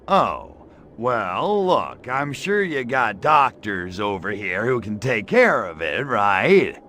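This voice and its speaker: An actor playing a brash, lecherous alien invader in a video game, with a cocky, sarcastic, slangy delivery. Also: male voice, fairly low pitch, sing-song, slow pace, sharp tone, a little hiss or hum, heavily acted. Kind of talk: video game cutscene acting.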